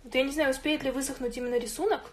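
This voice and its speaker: A woman's voice: high-pitched, steady tone, very fast, slight background noise. A woman hosting an informal drawing tutorial, chatting and thinking aloud as she works. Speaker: art tutorial host. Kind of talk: informal drawing tutorial, explaining while working. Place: indoors at a drawing table.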